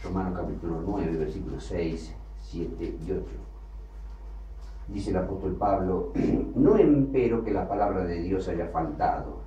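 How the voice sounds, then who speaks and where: A man preaching a sermon, emphatic, with loud bursts that drop low again. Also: a little slow, a little hiss or hum. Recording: echoey hall, from far off, faint ambience.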